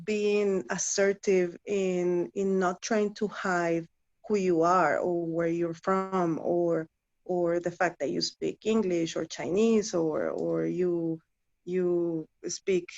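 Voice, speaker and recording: A woman in her thirties from Guatemala, speaking English as a second language after Spanish, talks slowly and haltingly, restarting her phrases. Her voice sits fairly low. The audio is a bit dull and muffled. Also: a little mellow. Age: 30s. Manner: halting, many restarts and fillers. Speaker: interview guest, former client. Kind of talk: casual interview chat.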